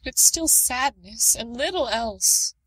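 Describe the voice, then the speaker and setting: A despairing skeleton lady, acted by a woman speaks high and slow with a bright, breathy edge. She sounds downcast and fretful, a sorrowful cartoon figure. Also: animated, no echo, heavily acted, rough recording. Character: sad, despairing female skeleton seeking help. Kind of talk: fan comic dub acting.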